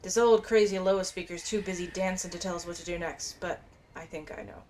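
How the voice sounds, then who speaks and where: A woman's voice: lowish voice, steady tone, fairly quick, darkish tone. A woman giving casual, informal gameplay commentary, musing aloud and reading bits of quest text. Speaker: game streamer. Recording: faint background noise and game ambience.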